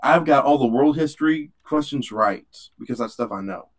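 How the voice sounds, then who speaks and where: A man's voice: on the high side, moderate pace. A young man from Texas chatting on a group call, joking and putting himself down. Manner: self-deprecating, joking. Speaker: podcast guest. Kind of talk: casual group chat. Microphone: home mic on a group call.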